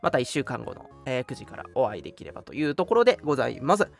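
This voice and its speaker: Lively young man hosting casual radio. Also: mid-range voice, very fast, gravelly, soft background music. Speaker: radio show host. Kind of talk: casual live radio chat with announcements.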